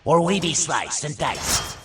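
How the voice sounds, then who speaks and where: A man's voice: on the high side, animated, unhurried, distant mic, noisy background, pitched above the performer's own voice. A man voicing a theatrical goblin announcer who gloats and teases with dramatic mock suspense, as in an audio storybook. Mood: teasing suspense. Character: theatrical male goblin game-show announcer, gloating showman.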